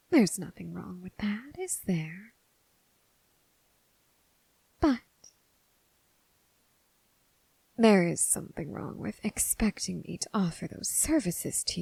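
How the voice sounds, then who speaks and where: A woman voicing a seductive, predatory female demon in a teasing, whispered ASMR roleplay, speaking slowly and intimately to the listener. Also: lowish voice, darkish tone, no echo, heavily acted, low-quality recording. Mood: soothing, bargaining. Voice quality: breathy, mostly whispered. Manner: slow, deliberate, teasing, coaxing.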